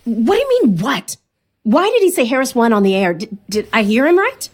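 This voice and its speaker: A woman's voice: on the high side, sing-song, a little fast. A woman playing a sardonic, curious TV news station employee in an audio drama, with wry, casual delivery. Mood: alarmed, incredulous. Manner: wry, muttering, casual asides. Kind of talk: naturalistic audio drama acting.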